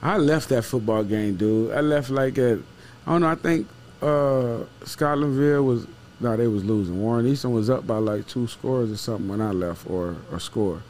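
A man's voice: mid-range voice, normal speed, darkish tone, slight background noise. A man chatting casually about football as a show analyst, emphatic and repeating himself, with some swearing. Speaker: sports show co-host and analyst. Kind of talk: casual football postgame chat. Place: small wood-paneled studio room. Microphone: podcast microphone with headset.

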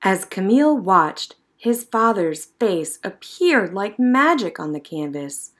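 The woman at story time in a primary classroom, reading a picture book aloud with measured expression, her pitch in the middle range. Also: sing-song. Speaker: storybook reader. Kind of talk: scripted narration, read-aloud of a children's picture book.

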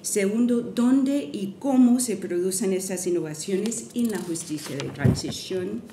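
A middle-aged woman, not a native speaker, giving a careful, halting academic lecture at a podium, partly read aloud, with many restarts. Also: medium pitch, lively intonation, background noise. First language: not Spanish. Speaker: guest lecturer. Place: university lecture hall, at a podium. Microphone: podium microphone. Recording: echoey hall, mic from a distance.

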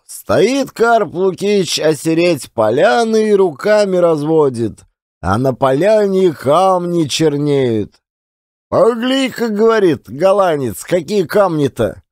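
A male storyteller with a high voice narrates in a folksy, colloquial way. He takes his time, and his intonation swings widely. It is a dramatic reading of a classic tale. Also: a little mellow, no room sound, studio quality. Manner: folksy, colloquial yarn-spinning. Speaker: audiobook narrator, actor.

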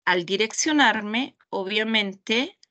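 Slow Mexican woman guiding a webinar. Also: medium pitch, bright, forceful tone. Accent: Mexican Spanish. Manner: slow, deliberate, pausing often. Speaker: webinar trainer. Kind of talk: screen-share product demonstration. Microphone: video call.

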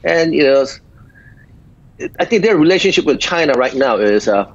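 A man in his fifties from South Korea with a small Korean accent, speaking in halting sentences full of filler pauses as a guest doctor in a remote interview. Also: a little high, lively intonation, no echo. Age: 50s. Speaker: guest physician. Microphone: video call. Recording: dull lo-fi video call audio.

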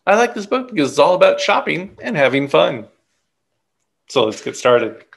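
A middle-aged man reading a children's story aloud in an expressive, deliberate way. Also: on the high side, vocal fry. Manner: animated, deliberate. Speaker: picture book reader.

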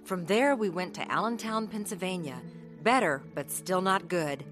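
Radio drama, female: actress playing young carnival worker, earnest and dramatic, bright forward voice, music underneath. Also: fairly low pitch, normal speed, close to an everyday voice. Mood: reflective, earnest. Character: young woman carnival worker narrating her hard past. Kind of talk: radio drama narration and dialogue.

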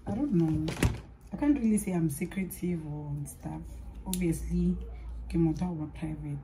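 A young South African woman chats casually to camera while she does her makeup. She talks in a rambling way, switches languages mid-sentence and trails off into soft asides. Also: fairly low pitch, normal speed, background noise. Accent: South African, mixing in Sepedi. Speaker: vlogger.

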